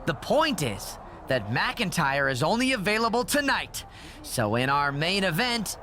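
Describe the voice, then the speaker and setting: A snippy young backstage producer in a wrestling game. His voice is high and bright, with a lively swing in pitch, smug yet easily thrown off. Noise runs faintly behind him. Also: measured pace, full character voice. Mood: snide. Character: snide, easily flustered young male wrestling show producer. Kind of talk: video game cutscene voice acting.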